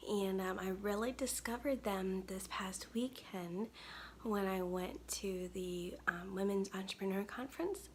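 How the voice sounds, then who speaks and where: A woman in her thirties with a soft, weak, breathy voice, chatting casually through a makeup tutorial at home to a close webcam. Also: mid-range voice, unhurried, slight background noise. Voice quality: gravelly, breathy. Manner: soft-spoken, chatty, many ums. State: weak, tired voice. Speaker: makeup brand owner. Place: home studio, indoors.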